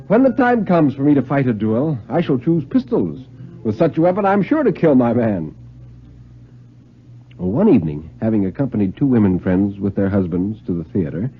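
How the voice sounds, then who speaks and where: A man giving a dramatic reading of thriller stories in the style of 1950s radio narration. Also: on the low side. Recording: lo-fi 1950s radio recording, music behind.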